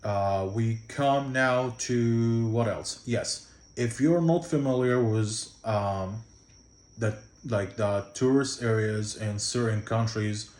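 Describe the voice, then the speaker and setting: A man's voice: lowish voice, slow pace, a little mellow, roomy sound. Egyptian-accented man giving loose travel tips. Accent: Egyptian Arabic. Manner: loose, rambling, with many fillers and restarts. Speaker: travel vlogger. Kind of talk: casual travel tips to camera.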